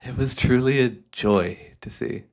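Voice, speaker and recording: A man's voice: medium pitch, vocal fry. A man playing a courteous, devious hypnotist who speaks in a formal, soothing, theatrical way, as in an ASMR roleplay. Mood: nostalgic, savoring. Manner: suave, formal, unhurried, theatrical. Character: devious, courteous male hypnotist guiding a client.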